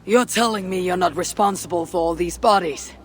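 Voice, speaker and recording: A woman's voice: lowish voice, fairly quick, slight background noise, close to an everyday voice. An actress playing a suspicious Viking warrior woman in a video game, with a terse, challenging delivery. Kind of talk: video game cutscene dialogue.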